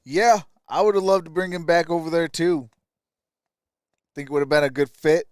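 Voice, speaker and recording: A man's voice: a little high, steady tone, moderate pace, a little mellow, no room sound, clean studio sound. A man hosting a live stream, giving casual draft commentary and reading out stats in clipped phrases.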